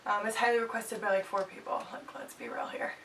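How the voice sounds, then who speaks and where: A woman's voice: mid-range voice, flat delivery, brisk, fairly bright tone. A young woman in her twenties with a stuffed-up nose, chatting casually and jokily as she reviews products for her channel. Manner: bubbly, chatty, jokey asides. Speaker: beauty vlogger. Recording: slightly distant mic, faint background hiss.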